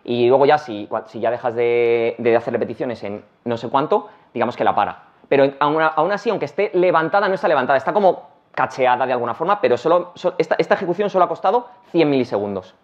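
A man from Madrid with a Madrid accent giving a casual technical talk on stage, talking rapidly with many restarts and fillers. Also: mid-range voice, animated. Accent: Madrid Spanish. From Madrid, Spain. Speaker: conference speaker. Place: large conference hall, on stage. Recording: dull lo-fi conference recording.

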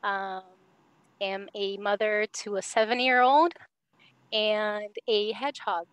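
A woman's voice: mid-range voice, steady tone, slow, a bit bright, clean tone, dry recording, poor audio. A woman in her thirties, originally from Brazil, speaking as a panelist on a video call, with many fillers. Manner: hesitant, many fillers, laughs easily. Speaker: panelist, architect. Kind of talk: casual panel talk. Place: home.

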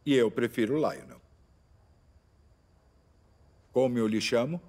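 A man's voice: mid-range voice, breathy edge. A male actor with a Brazilian accent dubbing an unflappable older speech therapist, informal, probing and wryly insistent. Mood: calm, inviting. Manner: calm, measured, wry, insistent. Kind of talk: film dubbing in Portuguese.

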